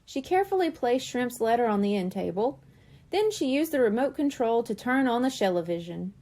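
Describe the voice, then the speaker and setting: A woman reading a children's storybook aloud with expressive, lively storytelling. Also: fairly high pitch, brisk. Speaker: children's book reader.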